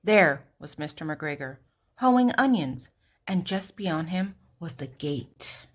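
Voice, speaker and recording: An older woman narrating a children's storybook expressively, with deliberate dramatic pauses and emphasis. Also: deep voice, lively intonation, slightly rough.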